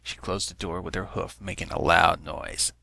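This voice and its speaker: A man's voice: low-pitched, fairly even intonation, normal speed, creaky, bright, forceful tone, dry recording. A man reading fan fiction aloud as a scripted story narration.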